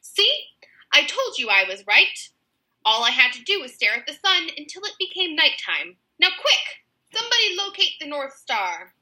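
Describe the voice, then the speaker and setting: Lady doing a silly pompous kidnapper woman for a kids book, high bright voice, super sure of herself. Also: sing-song, normal speed, heavily acted, rough recording. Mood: triumphant. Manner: pompous, matter-of-fact nonsense. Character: dim-witted, bossy, overconfident woman kidnapper. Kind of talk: children's storybook character voice.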